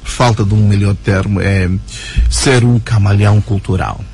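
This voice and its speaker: A writer and journalist as a radio interview guest, talking in an animated way with many fillers and tag questions. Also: male voice, lowish voice, normal speed, faint music behind. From Angola. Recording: radio broadcast recording.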